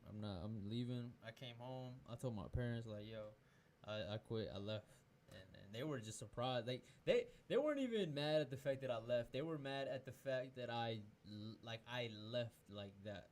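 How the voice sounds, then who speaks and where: A man's voice: mid-range voice, lively intonation, normal speed, few pauses, a little mellow, a little hiss or hum. Rambling young man from Peru. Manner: rambling, loose and profane, many restarts. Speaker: podcast host. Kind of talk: casual chat.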